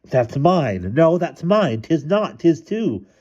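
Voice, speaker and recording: A man's voice: mid-range voice, very animated, measured pace, dark tone, breathy edge, highs cut off, pitched above the performer's own voice. A man voicing bickering young sisters in a storybook, snappy, insistent and whiny. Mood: squabbling.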